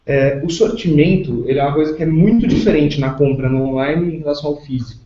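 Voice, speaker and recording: A man's voice: fairly high pitch, fast talker, dark tone, a little hiss or hum. A man with a Brazilian accent giving an explanatory business answer as a panelist on a conference call. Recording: dull, echoey conference call audio.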